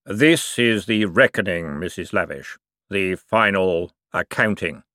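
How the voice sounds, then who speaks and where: A man voicing Death as a solemn, formal, patient spirit, with slow measured speech in an audiobook. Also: sits low, pushed and bright, no echo, studio quality. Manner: slow, measured, grave delivery.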